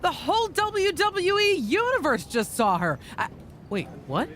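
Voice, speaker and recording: A woman playing an excitable, blunt wrestling official in a video game cutscene. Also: extremely high pitch, a little slow, a little hiss or hum, exaggerated voice. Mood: taken aback.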